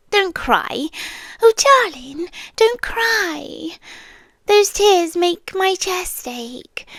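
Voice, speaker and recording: A possessive yandere sea creature played by a woman speaks in a high British voice, lingering very slowly over each phrase. She is sweetly menacing, soft and half-whispered. It is an intimate ASMR roleplay, close on the mic and completely dry. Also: dry recording, exaggerated voice. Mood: tender, cooing. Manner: soft, breathy half-whisper, drawn-out pauses. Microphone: close ASMR microphone.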